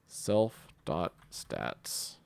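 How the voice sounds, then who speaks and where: A man giving a casual screencast coding tutorial, talking haltingly as he thinks aloud while typing. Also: low voice, sing-song, gravelly, clean tone. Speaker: programming tutorial host.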